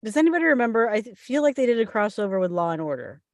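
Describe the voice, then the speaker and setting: A woman's voice: fairly high pitch, sing-song, rapid-fire, a little mellow, no echo, clean studio sound. A woman in her forties chatting casually and telling stories in a group conversation. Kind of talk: casual group chat about television.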